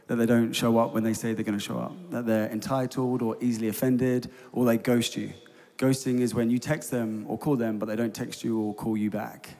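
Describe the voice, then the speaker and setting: A man's voice: mid-range voice, mellow. A man youth ministry leader giving a preacherly conference talk from a stage, building to emphatic peaks. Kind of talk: conference talk, then hosting a panel. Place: large concert hall on stage. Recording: large hall with long reverb.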